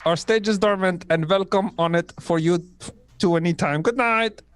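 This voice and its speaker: A man playing a grand 1940s radio theater host, halting as he reads out his announcements. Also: high voice, background noise, lightly acted. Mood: showy, grand. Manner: halting, breaks into stumbles and giggles. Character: grand male radio theater host with put-on foreign accent.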